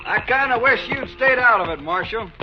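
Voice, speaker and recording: An American actor playing a desperate but fair-minded cattle trail boss, plain-spoken and laconic, in a 1950s radio western. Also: male voice, extremely high pitch, expressive, normal speed, music in the background. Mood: grim, restrained. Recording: dull 1950s radio recording.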